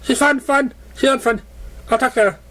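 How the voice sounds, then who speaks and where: A man's voice: very high-pitched, steady tone, moderate pace, fairly bright tone, voice pushed up. A man voicing a bubbly, childlike female cartoon pony who chatters simply and repetitively about having fun. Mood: carefree.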